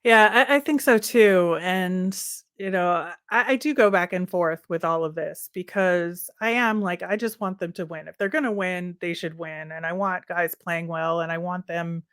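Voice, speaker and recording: A woman with a slightly low voice hosts a casual sports podcast. She speaks conversationally and hedges with asides as she thinks through her points. The sound is very dry, with no room around it. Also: normal speed, few pauses, fairly bright tone, smooth, dry recording.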